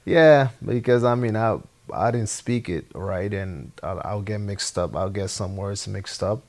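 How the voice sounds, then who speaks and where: A young man with a Spanish accent, speaking English as a second language as a guest in a relaxed interview, halting and repeating his words. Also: on the low side. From Honduras. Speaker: podcast guest, former soccer player. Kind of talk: casual interview chat.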